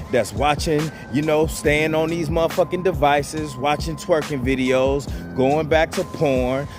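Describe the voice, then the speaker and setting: A man giving blunt, profane motivational advice straight to his viewers, repeating himself and calling them "bro". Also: a little high. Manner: blunt, profane, repetitive, calls listeners "bro". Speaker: channel host giving advice.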